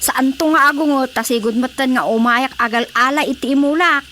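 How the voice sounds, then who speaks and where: A very shrill, brash Ilocano schemer. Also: female voice, a little fast, bright, forceful tone, a little hiss or hum. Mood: scheming, chatty. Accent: Ilocano Filipino. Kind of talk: Filipino comedy radio drama acting.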